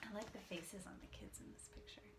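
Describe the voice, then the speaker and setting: A woman reading a picture book aloud as a teacher, expressive and explaining in simple words for children. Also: a little fast, noisy background.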